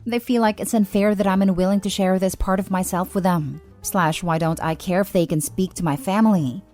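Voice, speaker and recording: A woman narrator reading online posts and comments aloud in a steady, even delivery. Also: medium pitch, a little fast. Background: faint background music.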